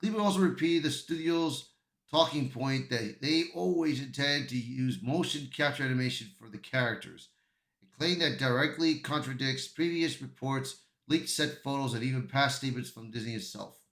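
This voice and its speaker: A man hosting an amateur news commentary video, reading aloud and reacting in a halting way, stumbling and repeating words. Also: mid-range voice, fairly bright tone. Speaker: YouTube commentary host. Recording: echoey, roomy sound.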